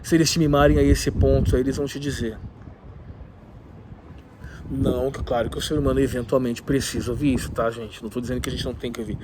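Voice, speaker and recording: A man with a cold, talking in an animated, opinionated way with many asides in a casual vlog, recorded in a rooftop leisure area. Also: medium pitch, fast talker, background noise. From southern Brazil. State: has a cold. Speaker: video host.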